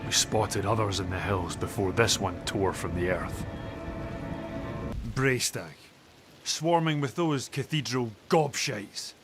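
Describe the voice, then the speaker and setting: An actor playing a gruff, weary, plain-spoken rustic villager in a video game. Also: male voice, on the low side. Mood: gruff, wary. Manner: gruff, unhurried, salty. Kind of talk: dramatic video game acting. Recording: music and rain behind.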